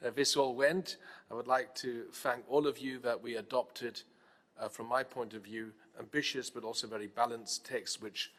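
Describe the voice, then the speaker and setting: Give me a man speaking as a delegate at a conference. His measured, formal speech has a few fillers. His voice sounds from a reverberant conference hall with a long echo tail. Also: medium pitch, fairly bright tone. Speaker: parliamentary delegate.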